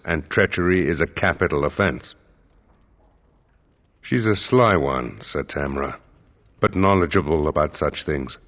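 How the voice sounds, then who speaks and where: An actor playing a dutiful, wary seneschal in a fantasy game, measured and deferential in his speech. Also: sits low, a bit bright. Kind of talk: scripted fantasy game dialogue.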